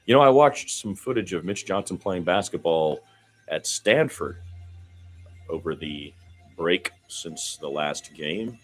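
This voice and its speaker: Man, lowish pitch, amateur radio basketball commentator, conversational, folksy announcing. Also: animated, unhurried, soft background music. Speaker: play-by-play commentator.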